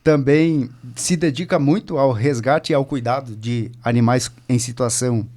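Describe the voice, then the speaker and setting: A man's voice: medium pitch, normal speed, darkish tone. A man hosting a podcast, introducing his guest and asking questions in a friendly, casual way. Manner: friendly, asks questions with tag "né". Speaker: podcast host. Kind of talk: casual interview.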